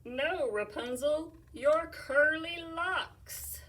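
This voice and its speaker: A woman voicing a young lovestruck male prince who shouts up to a tower in a loud, slow, drawn-out voice. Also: high voice, recorded from a distance, voice pushed up, full character voice. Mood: calling upward.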